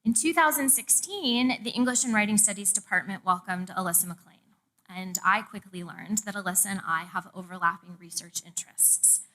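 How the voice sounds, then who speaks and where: A woman's voice: mid-range voice, moderate pace, sharp tone, rough recording. A middle-aged woman from the American South gives a prepared public lecture as a professor, with personal asides. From Charleston, South Carolina, US. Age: 40s. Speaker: university professor, presenter. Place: public talk in a museum event room.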